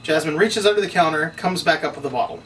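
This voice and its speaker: Male narrator for a script read-through, bright and fairly high. Distant mic, faint noise. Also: quick pace. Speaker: narrator reading stage directions. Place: room, read aloud at a table.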